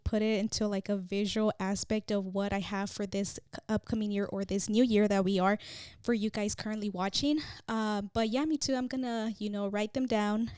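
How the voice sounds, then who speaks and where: A woman's voice: mid-range voice, darkish tone, clean tone, no echo, studio quality. A woman co-hosting a faith podcast, talking in a reflective, casual way with lots of "you know" and "like". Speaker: podcast co-host.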